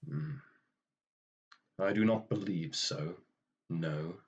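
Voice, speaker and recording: Guy acting grim reaper type, low husky voice, slow and formal, weary, radio play. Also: lo-fi sound, exaggerated voice. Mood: reserved. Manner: formal, dry, understated.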